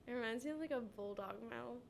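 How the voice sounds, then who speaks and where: A woman's voice: fairly high pitch, brisk, gravelly, slight background noise. A young woman co-hosting a podcast, stuffy from a cold, chatting in a giggly, rambling way full of "like". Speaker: podcast co-host. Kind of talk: casual chat.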